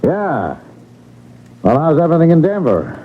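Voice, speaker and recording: An actor playing a brisk, authoritative detective in a radio mystery drama, commanding and matter-of-fact. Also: male voice, fairly high pitch, sing-song. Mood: businesslike, bantering. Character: brisk, authoritative male detective taking charge of a robbery case. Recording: muffled narrowband old radio broadcast, faint hiss.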